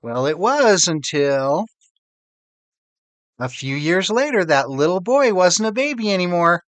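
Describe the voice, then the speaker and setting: A man voicing a loving storybook mother who fondly recalls happy times, with drawn-out, emphatic phrasing. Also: high voice, sing-song, a bit bright, dry recording, full character voice. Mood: fond, joyful.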